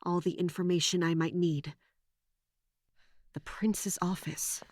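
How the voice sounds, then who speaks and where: A woman's voice: on the low side, fairly even intonation, takes it slowly, a little mellow, no room sound. A woman playing a guarded, resolute captain in an audio drama, terse, grave and introspective as she narrates and speaks. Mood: brooding resolve. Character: guarded female royal guard captain, self-blaming, resolute. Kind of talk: first-person dramatic narration and acted dialogue.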